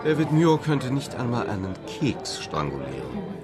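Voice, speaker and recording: A man's voice: medium pitch, wildly swooping pitch, normal speed, over loud music. An actor playing an urbane, haughty male playwright in a German radio play, catty, sardonic and theatrical.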